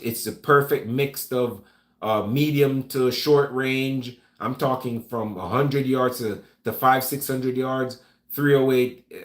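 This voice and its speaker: Jamaican man chatting in an echoey room. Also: mid-range voice. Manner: relaxed, stumbling restarts, calls people man. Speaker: vlog host reading live chat. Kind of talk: casual live-stream chat. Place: room at home with gun rack.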